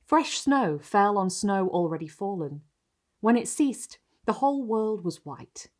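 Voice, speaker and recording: A woman hosting and reading literary stories aloud in an expressive, theatrical style with deliberate phrasing. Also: mid-range voice. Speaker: host and reader of a literary video series. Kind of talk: dramatic storytelling, scripted narration.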